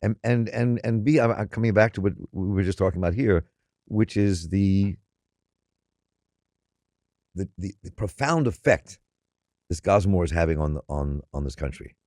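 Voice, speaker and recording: A man's voice: low-pitched, normal speed, long pauses, darkish tone, no echo, studio quality. An older man hosting a radio interview, rambling through his questions with many restarts. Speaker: interview host.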